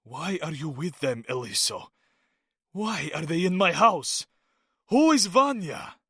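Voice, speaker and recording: A drunk, drugged man, confused and trembling, his voice pitched well above the narrator's usual tone, as a male narrator puts him on. The sound is bright and dry, recorded close. He swings between bewilderment and indignation. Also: very animated, takes it slowly, slightly rough, no echo, exaggerated voice. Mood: bewildered. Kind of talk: audiobook character voice.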